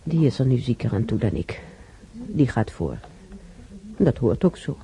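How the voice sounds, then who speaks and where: An old woman with a creaky, very dark voice rambles wistfully and repeats herself. Her pitch swings in a lively way as she muses aloud in a slightly noisy radio play recording. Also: a little slow, exaggerated voice. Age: older. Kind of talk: radio drama monologue and dialogue.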